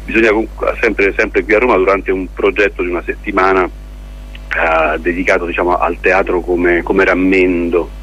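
A man answering as a theatre director in a radio interview, explaining in a halting, deliberate way with pauses and repeated words. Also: low voice, steady tone, a bit bright, slightly rough. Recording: muffled, lo-fi radio interview with noise.

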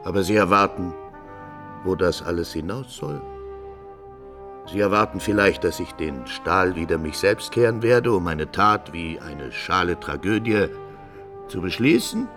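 A proud, tormented father in an old radio tragedy. His voice is deep and his delivery slow, grave and declamatory. Brass music sounds behind him. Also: animated. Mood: bitter defiance. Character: stern older father, honour-bound, anguished, defiant. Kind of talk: mid-century German radio play acting, classical tragedy. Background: music with brass and horns.

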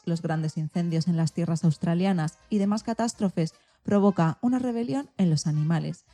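Female co-host, Spain accent, scripted magazine presenting, soft mellow tone on the lower side. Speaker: radio programme co-host.

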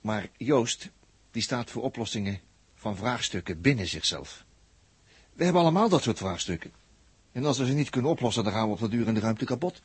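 An actor playing an excitable, brooding spaceship crewman who stammers and searches for words in a 1960s radio drama. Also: medium pitch, a little fast, breathy edge, dry recording. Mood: urgent, explaining.

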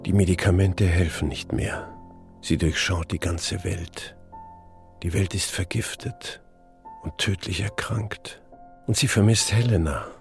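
Deep, brooding man. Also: unhurried, creaky, soft background music. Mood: bleak, resigned. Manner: gloomy, introspective monologue. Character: brooding, despairing husband of a sick woman. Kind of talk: literary radio drama acting.

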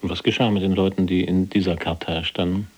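An actor playing an imprisoned resistance fighter who questions soberly and insistently in a husky voice in a radio drama. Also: male voice, sits low, level tone, a little fast. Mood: sober, curious. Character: imprisoned male resistance fighter, probing, principled, persistent.

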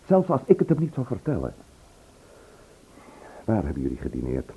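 A man's voice: on the low side, huge pitch swings, normal speed, vocal fry, phone-quality sound, a little hiss or hum, exaggerated voice. An actor playing a worried, insistent man pressing for the truth in a radio play. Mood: worried, pleading. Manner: probing, insistent.

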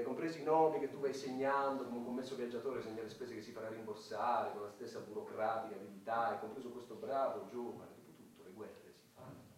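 A man's voice: mid-range voice, slight background noise, natural voice. A man playing a cynical, self-important older president in a radio play, speechifying in a pompous, sardonic way. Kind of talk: theatrical radio drama acting. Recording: very echoey hall, distant mic, music.

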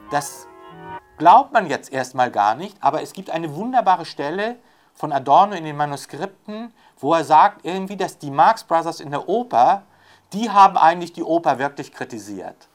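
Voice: mid-range voice, expressive, normal speed, faint music behind. An interviewee talking in a loose, rambling way, full of fillers and tag questions. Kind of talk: discursive spoken commentary.

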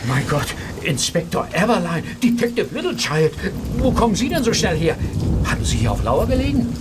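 An actor in a German radio play as an agitated, dutiful Victorian police constable, urgent and official. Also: male voice, high-pitched, sing-song, normal speed. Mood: surprised, dutiful. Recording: distant voice, noisy outdoor street sound effects.

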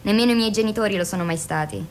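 A woman's voice: medium pitch, racing, slight background noise, natural voice. An actress in a radio drama playing a defiant, bitter young patient, blunt, colloquial and sarcastic with her therapist. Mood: guarded, bitter. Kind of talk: Italian radio drama acting.

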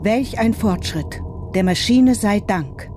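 A woman's voice: medium pitch, animated, takes it slowly, a crowd in the background. A woman playing a haughty, fussy, disdainful passenger in a radio play, with an imperious and affected manner. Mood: disdainful, bored. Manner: imperious, affected, easily scandalised. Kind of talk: German radio play dialogue.

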